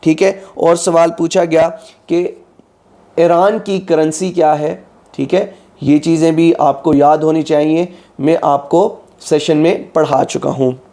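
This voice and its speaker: A man's voice: a little high, fairly even intonation, measured pace, dark tone. A man with a slightly sore throat, methodically teaching exam preparation and often checking in with his students. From Pakistan. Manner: methodical, repeats "ठीक है" often. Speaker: exam prep tutor. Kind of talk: instructional lecture.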